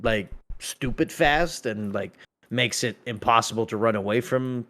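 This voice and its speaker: A man's voice: medium pitch, a little slow, a bit bright. A man chatting casually during a game, explaining things emphatically and repeating himself for clarity. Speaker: roleplay server police lead. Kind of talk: casual chat while gaming.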